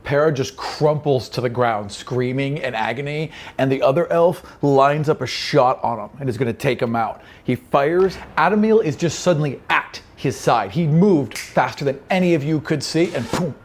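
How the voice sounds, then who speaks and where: A man's voice: mid-range voice, moderate pace, few pauses. A man speaking as game master, casually explaining rules and narrating action in a tabletop game, with some swearing. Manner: casual, profane. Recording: faint background noise.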